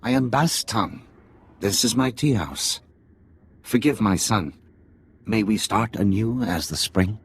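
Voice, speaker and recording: A man playing a jaded middle-aged undercover spy in a video game, with a gravelly, husky voice and a sardonic, deliberate manner. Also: on the low side, expressive, exaggerated voice. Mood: formal, courteous. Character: jaded male Imperial spy posing as alien tea house owner, middle-aged father. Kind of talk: cinematic video game dialogue.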